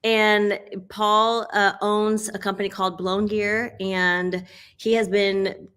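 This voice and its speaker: Woman host, bright forceful tone, upbeat and laughing, casual interview chat. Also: medium pitch, level tone, takes it slowly, clear, resonant tone. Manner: upbeat, laughs often, fillers.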